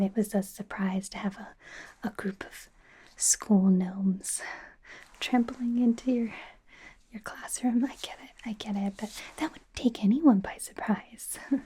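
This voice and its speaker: A woman's voice: medium pitch, steady tone, measured pace. A woman playing a friendly, anxious young werewolf medical student in an ASMR roleplay, whispering in a nervous, hesitant ramble with stammered repeats. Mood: apologetic, chatty. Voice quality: breathy soft whisper.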